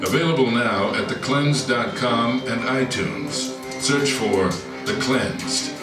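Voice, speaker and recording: A man's voice: medium pitch, takes it slowly, close to an everyday voice. A man voicing a grave, doom-laden narrator in a scripted audio drama trailer. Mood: grave, ominous. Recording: echoey distant playback, loud guitar music.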